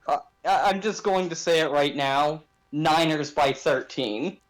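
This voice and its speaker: High-voiced man in casual sports chat. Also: a little slow. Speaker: sports podcast host.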